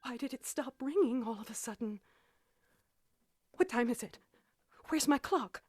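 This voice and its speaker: A woman's voice: on the high side, expressive, a little slow, darkish tone, no echo. A woman playing a frantic, self-pitying invalid wife in a 1940s-style radio suspense drama, nervous, demanding and hysterical. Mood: fearful.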